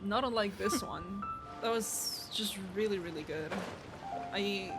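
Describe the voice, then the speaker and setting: A woman's voice: mid-range voice, a little slow. A young woman streamer giving casual live reactions to a video game, with music playing behind the voice.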